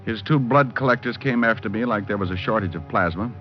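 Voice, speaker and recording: An actor playing a cocky, wisecracking hardboiled private detective who narrates with deadpan humor in an old-time radio drama. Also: male voice, lowish voice, fast, close to an everyday voice. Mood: wry narration. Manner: deadpan, flippant wordplay in narration and dialogue. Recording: muffled old radio recording with music.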